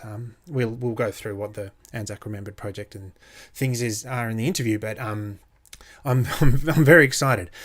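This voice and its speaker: A man's voice: medium pitch, fairly bright tone. A man hosting a history podcast, casual and hesitant with many fillers and repeated words. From Australia. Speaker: podcast host. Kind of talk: casual podcast intro and interview.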